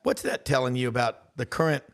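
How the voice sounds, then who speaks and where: A man's voice: mid-range voice, fairly quick, clean tone. A man hosting a sports talk show in a casual, joking, chummy way.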